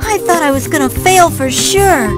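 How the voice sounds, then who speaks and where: A woman's voice: very high voice, normal speed, loud music behind the voice. A woman voicing an earnest, affectionate young schoolboy, a big brother in a video game, boyish in manner. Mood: grateful. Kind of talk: video game cutscene acting.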